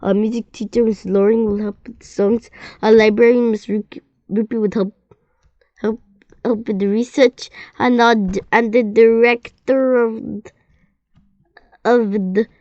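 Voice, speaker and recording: A woman reading a children's story aloud, expressive and often stumbling and repeating words. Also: on the high side, measured pace, no echo, dull audio.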